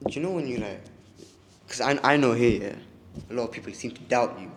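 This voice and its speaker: A man hosting an interview asks his questions quickly and casually. His voice sits at a middle pitch. The sound is roomy, with a long reverb and faint background noise. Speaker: podcast host.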